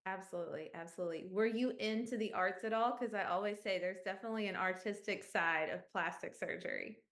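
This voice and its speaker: A woman's voice: mid-range voice, brisk, fairly bright tone. Upbeat woman hosting, echoey room. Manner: upbeat, repeats "awesome". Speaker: interview host for an outreach series. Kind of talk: friendly interview hosting.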